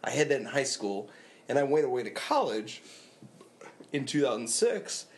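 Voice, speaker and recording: A man in his twenties with a somewhat nasal voice co-hosts a podcast. He delivers dry, deadpan lines, and his pitch sits in the middle. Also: normal speed. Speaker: podcast co-host. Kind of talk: casual podcast banter.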